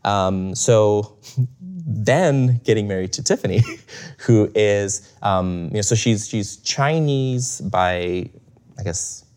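Low-voiced guy from bulgaria, casual interview chat, lots of ums and little laughs. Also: lively intonation, slow, darkish tone. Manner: reflective, many fillers and restarts, chuckles often. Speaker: interview guest.

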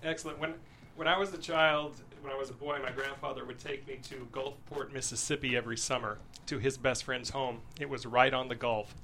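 A man from New Mexico, a guest writer in a studio, telling personal stories in a halting, reflective way. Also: mid-range voice, fairly even intonation, sharp tone, a little hiss or hum. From Albuquerque, New Mexico, United States. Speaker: guest screenwriter. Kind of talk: reflective personal storytelling in an interview.

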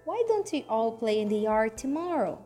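A woman's voice: a little high, big pitch swings, moderate pace, warm tone, faint music behind. A woman voicing Rose, a friendly, timid female toy rabbit in a children's storybook, sweet and eager. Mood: cheerful.

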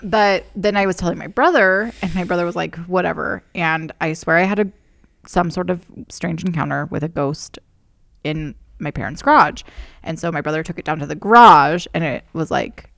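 A woman's voice: on the low side, moderate pace, vocal fry, a bit bright, dry recording. A young adult woman co-hosting a casual chat podcast, telling personal stories in a giggly, self-mocking way. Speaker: podcast co-host.

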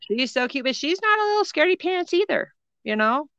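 A woman's voice: sits high, big pitch swings, fairly quick, a bit bright, no echo. A middle-aged woman co-hosting a casual chat podcast, talking unhurriedly and laughing often. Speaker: podcast co-host. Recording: dull lo-fi dry recording.

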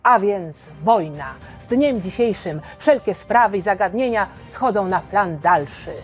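A woman's voice: medium pitch, lively intonation, unhurried, faint music behind, lightly acted. A woman playing a grave male wartime radio announcer, reading a solemn, emphatic proclamation in an audio story. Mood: solemn, resolute.

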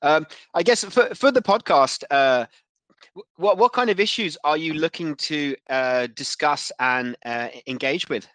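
A man hosting a casual podcast interview, speaking in a hesitant, halting way. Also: a little high, a bit bright, no echo.

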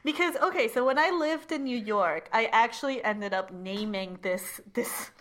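A woman's voice: fairly high pitch, normal speed, fairly bright tone. A woman co-hosting a casual chat podcast, chatty and laughing often, with lots of "like" fillers. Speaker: podcast co-host.

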